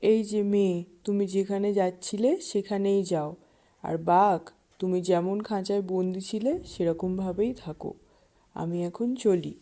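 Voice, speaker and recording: Woman voicing a mellow, wily jackal uncle. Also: mid-range voice, steady tone, a little slow, natural voice. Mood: crafty, directing. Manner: wily, feigned puzzlement. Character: sly jackal uncle feigning slow-witted confusion. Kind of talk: children's animated fable dialogue.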